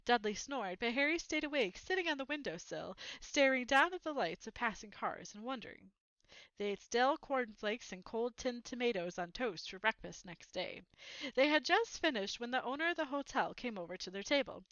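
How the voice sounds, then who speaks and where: A woman reading a children's book aloud as an expressive storyteller. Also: on the high side, moderate pace, no room sound, muffled recording.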